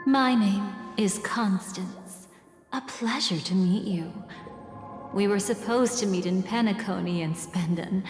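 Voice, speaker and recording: A woman's voice: medium pitch, close to an everyday voice. An actress playing an elegant, mysterious woman in a video game, capricious and playfully menacing, with a teasing, theatrical delivery. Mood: mysterious, inviting. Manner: theatrical, teasing, silky and deliberate. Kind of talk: video game character dialogue. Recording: long reverb, music behind.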